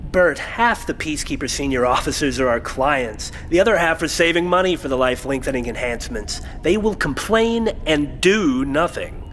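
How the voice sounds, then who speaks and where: An actor playing a scornful, scheming aristocrat in a science fiction audio drama, with a haughty, sneering delivery. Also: male voice, fairly high pitch, animated, normal speed, hardly any pauses, a bit bright. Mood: smug, scheming. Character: scornful, scheming male aristocrat count, coldly callous. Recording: long hall reverb with background noise.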